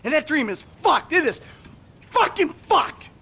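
An actor playing a bitter, sarcastic middle-aged American ex-bank robber in a video game cutscene, profane and exasperated. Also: male voice, very high-pitched, very animated, a little slow, creaky, bright, forceful tone, heavily acted. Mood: angry, bitter. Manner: sardonic, profane, exasperated delivery.

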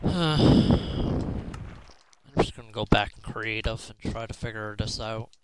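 A man's voice: lowish voice, unhurried. Man muttering through gameplay, noisy background. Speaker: video game player. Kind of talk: casual gameplay commentary. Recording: clear background noise.

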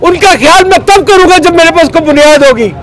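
Agitated middle-aged man, high strained voice. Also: fast talker, fairly bright tone. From Pakistan. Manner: agitated, pleading, gesturing hard. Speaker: market trader leader. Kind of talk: street interview. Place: outdoors on a busy city street. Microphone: handheld reporter mic.

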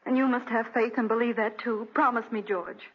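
Very breathy, devoted young wife. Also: female voice, on the high side, fairly even intonation, a little fast. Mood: tender, hopeful. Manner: tender, pleading, earnest. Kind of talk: 1940s radio drama acting. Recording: dull restored 1940s radio recording.

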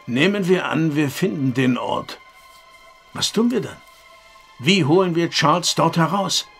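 Male role: gravel-edged middle-aged father, doubtful and direct, period horror radio play over faint music. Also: a little high, animated, measured pace, a bit bright, heavily acted. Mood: sceptical, probing. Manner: direct, probing. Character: sceptical, worried father of a vanished son. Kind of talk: period horror audio drama acting.

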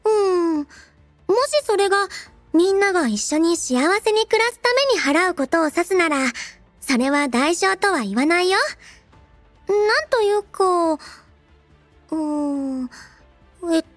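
A woman voicing a cheerful, devoted robot girl in an anime game, childlike and playful, with a cutesy, expressive manner. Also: very high voice, slow, pauses often, no room sound, soft background music, exaggerated voice. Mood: earnest. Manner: cutesy, refers to herself in third person, expressive. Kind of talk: Japanese anime game character voice lines.

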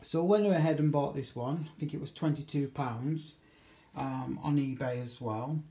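A man's voice: medium pitch, normal speed, mellow. A man giving a casual, unscripted demonstration in a hesitant, rambling way, correcting himself as he goes, recorded on a handheld phone in a home kitchen. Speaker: amateur radio hobbyist vlogger. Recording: echoey room, handheld camera.

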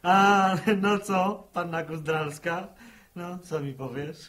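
An actor playing a cheeky young farm son, flippant and teasing, in a 1960s radio drama. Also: male voice, on the high side, takes it slowly, fairly bright tone. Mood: playful. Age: young adult. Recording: 1960 archive radio drama recording.

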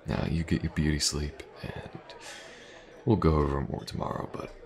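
A man playing a tough, teasing fantasy mercenary in an audio roleplay, speaking in an unhurried, gravelly murmur with pauses. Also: little pitch movement, darkish tone. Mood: calm, assured. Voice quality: gravelly, breathy low murmur. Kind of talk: immersive fantasy audio roleplay, intimate improvised monologue.

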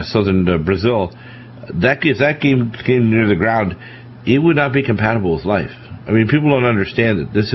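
Male radio host, lowish voice, emphatic lecturing delivery, muffled broadcast audio. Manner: emphatic, lecturing, warns about disasters. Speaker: radio talk show host. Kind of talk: talk radio discussion. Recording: dull lo-fi radio broadcast with faint hiss.

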